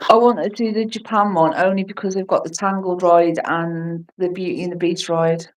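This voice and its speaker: A woman in her forties chatting casually, her voice sitting a touch low for a woman and a little away from the microphone. She rambles excitably from one thought to the next. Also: normal speed, recorded from a distance, rough recording. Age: 40s. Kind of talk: casual chat.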